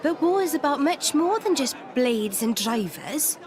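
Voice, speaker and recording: Feisty young game girl, really high swingy voice, crowd behind her. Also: sing-song, measured pace. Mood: frank. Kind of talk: video game voice acting.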